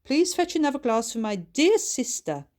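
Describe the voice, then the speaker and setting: A woman's voice: high-pitched, sing-song, moderate pace, darkish tone, pitched above the performer's own voice. A woman voicing a wiry, grand, affectionate lady of the manor, genteel, effusive and used to giving orders, in a storybook. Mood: warmly effusive.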